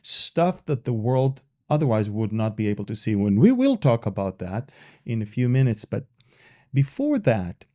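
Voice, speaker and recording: A man hosting a podcast, chatting easily with his guest. His voice is mellow and dark, pitched in a middle range, and he often stops and restarts mid-phrase. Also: big pitch swings, normal speed, no echo, clean studio sound. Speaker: podcast host. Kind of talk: casual interview.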